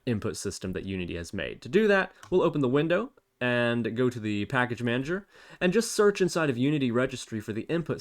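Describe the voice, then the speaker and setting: Man casually narrating a software tutorial. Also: medium pitch. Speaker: tutorial presenter. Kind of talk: screencast software tutorial narration, informal step-by-step.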